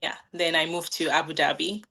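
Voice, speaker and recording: A woman in her thirties from Nigeria sits for a podcast interview as a guest. She talks in a loose, conversational way and fills her answers with "um"s and "like"s. Her voice sits a little on the low side for a woman. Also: little pitch movement, a little fast, vocal fry, pushed and bright. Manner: friendly, laughs often, many "um"s and "like"s. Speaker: guest, new business owner.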